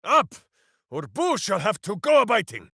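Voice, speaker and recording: A man's voice: high-pitched, very animated, moderate pace, pushed and bright, husky, no room sound, studio quality, exaggerated voice. A man voicing a big-hearted, simple-minded heroic warrior in a video game, bombastic and earnest, speaking of himself in the third person. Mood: rousing battle bluster. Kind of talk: video game character voice acting.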